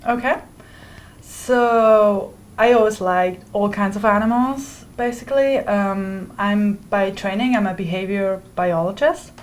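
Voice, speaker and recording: A woman's voice: medium pitch, a little slow, a little hiss or hum. A young woman from Switzerland, a PhD student, explaining her research in an interview with careful phrasing and some restarts. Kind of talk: interview answers. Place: indoor university room.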